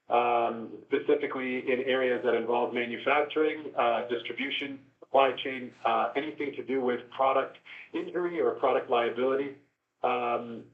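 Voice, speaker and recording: Man on a dull, echoey phone line. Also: medium pitch. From born in South Africa, grew up in Canada. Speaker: guest expert, university instructor. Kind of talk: conversational interview answers. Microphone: phone call.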